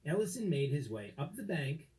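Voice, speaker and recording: Mellow, very animated male storyteller. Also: on the high side. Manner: dramatic, deliberate phrasing with emphasis. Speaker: storybook narrator. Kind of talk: expressive scripted children's story narration.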